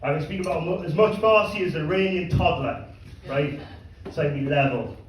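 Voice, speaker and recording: A man with a fairly high voice works a comedy club crowd, mixing crude deadpan punchlines with halting, stumbling asides. He sounds distant and echoey, picked up from across a reverberant room. Audience laughter and room noise sit behind him. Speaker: stand-up comedian. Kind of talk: stand-up comedy set.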